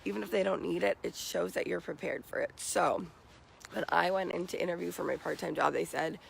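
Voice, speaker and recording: Raspy, creaky young American man. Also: high voice, fairly even intonation, fairly quick, a little hiss or hum, poor audio. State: morning hoarseness. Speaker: vlogger giving job interview tips. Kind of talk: casual advice vlog. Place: outdoors.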